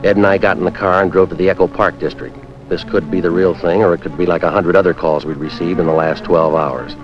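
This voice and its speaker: An actor playing a terse, businesslike police sergeant in a 1950s crime drama, clipped and matter-of-fact. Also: male voice, sits low, steady tone, fast, lightly acted. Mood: deadpan, businesslike. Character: terse, businesslike male police sergeant narrating his case. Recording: dull vintage 1950s soundtrack, music behind.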